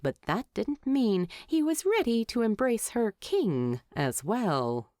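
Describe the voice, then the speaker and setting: A woman's voice: fairly high pitch, sing-song, slow pace, darkish tone, dry recording, studio quality. A woman narrating a scripted story as an audiobook-style reader.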